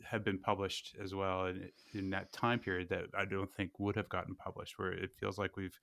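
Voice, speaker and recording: Creaky low-voiced man. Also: level tone, a bit bright, no room sound, clean studio sound. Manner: halting, searching for words. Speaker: podcast interviewer. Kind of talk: casual interview questions.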